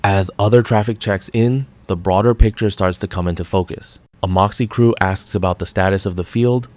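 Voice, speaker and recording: A man giving a measured, deliberate scripted narration as the host of an analysis channel. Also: sits low, steady tone, darkish tone, dry recording. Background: background music.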